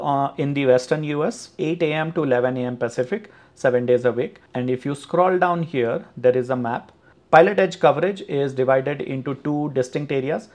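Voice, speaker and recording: A man narrates a flight-sim tutorial over a screen recording. His voice sits at an ordinary middle pitch, and he explains things steadily and plainly, pausing now and then on a filler. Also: darkish tone. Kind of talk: screen-recorded website walkthrough.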